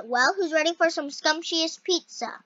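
A young female gamer with a scratchy voice, commenting on a game and reading its dialogue aloud in an excitable, halting way. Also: extremely high pitch. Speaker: gaming video host. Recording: dull, lo-fi close microphone.

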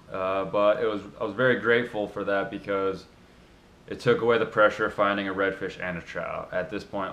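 A man's voice: sits low, fairly bright tone, roomy sound. A young man giving a casual recap to camera as a kayak angler and vlog host, recorded in a small indoor room. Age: young adult.

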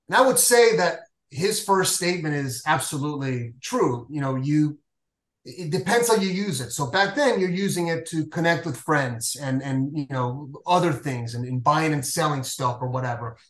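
Venezuelan guy in his 40s chatting on a video call, relaxed, full of uhs, kinda echoey room. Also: fairly high pitch. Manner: relaxed, hesitant with many filler uhs. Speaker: payments executive guest. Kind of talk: casual video call chat. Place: home room, indoors. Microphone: video call webcam.

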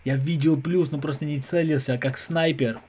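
A man's voice: a little high, steady tone, moderate pace, background noise. Young Englishman speaking accented, non-native speech. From England. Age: young adult. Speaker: reaction video host. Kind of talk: casual reaction commentary. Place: small room at home. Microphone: desk microphone close in front, headphones on.

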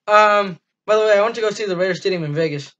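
A man's voice: high voice, fast, a bit bright. Teen boy rambling on a lo-fi webcam. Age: teens. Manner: rambling and enthusiastic, lots of ums and restarts. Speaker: fan channel host. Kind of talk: casual postgame review monologue. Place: small dim room indoors. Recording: dull lo-fi webcam audio.

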